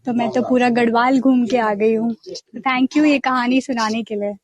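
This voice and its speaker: A woman's voice: fairly high pitch, steady tone, moderate pace, lo-fi sound. A woman from Uttarakhand, India, giving casual, appreciative comments as a guest on a live show. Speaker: listener guest.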